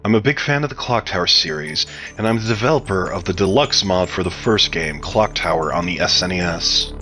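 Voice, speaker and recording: Low, bright-voiced man answering interview questions. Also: lively intonation, fairly quick, soft background music. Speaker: interview guest, game mod developer. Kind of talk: prepared, read-out interview answers.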